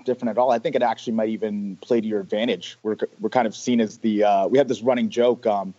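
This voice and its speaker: A low-voiced man from Canada answering interview questions in a mellow, relaxed tone, hesitating with lots of ums and restarts. The audio is dull and lo-fi, like a remote call. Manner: hesitant, many fillers and restarts. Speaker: guest, sales rep.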